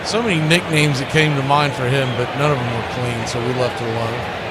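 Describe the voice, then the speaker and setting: A man's voice: mid-range voice, steady tone, brisk. Male baseball announcer over noisy background. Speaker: play-by-play radio announcer. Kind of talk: live baseball commentary.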